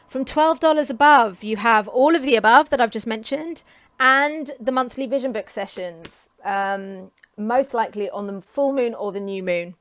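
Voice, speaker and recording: A woman in her forties from England talks casually to camera, chatty and enthusiastic with frequent ums, her voice getting hoarse. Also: fairly high pitch, moderate pace, a bit bright, no room sound. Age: 40s. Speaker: creative coach and vlogger.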